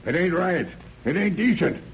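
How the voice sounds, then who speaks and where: Casting: crotchety old rural American patriarch, gravelly, gruff, folksy. Old radio play, thin hissy sound. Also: male voice, sits high, lively intonation, normal speed, heavily acted. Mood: scornful, stern. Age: older. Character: stern, cantankerous old country father. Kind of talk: old-time radio drama acting. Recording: tinny, noisy old radio recording.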